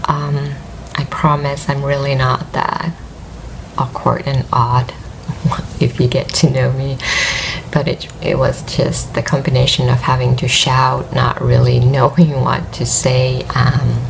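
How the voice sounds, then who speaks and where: A woman's voice: very deep voice, little pitch movement, slow, gravelly, background noise. A tired woman from Finland with a Finnish accent and a worn voice, hosting a knitting podcast and chatting hesitantly with many fillers. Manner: hesitant, rambling with many fillers and soft laughs. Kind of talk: casual vlog chat.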